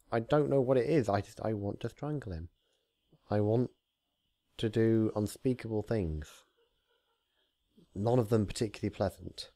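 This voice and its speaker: Low-pitched man reading stories, dry close sound. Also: mellow, no echo. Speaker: fan fiction reader, channel host. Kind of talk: casual intro, then narrated story reading.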